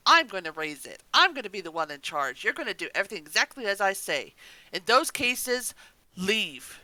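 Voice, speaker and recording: A woman's voice: mid-range voice, big pitch swings, normal speed, sharp tone, no room sound. A woman co-hosting a casual review chat about an audio drama in a gushing, enthusiastic manner.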